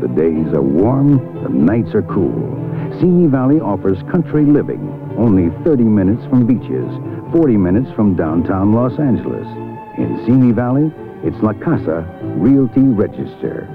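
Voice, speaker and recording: A man's voice: fairly low pitch, sing-song, moderate pace. A man reading a scripted radio advertisement as a commercial announcer. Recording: muffled 1970s radio, music behind.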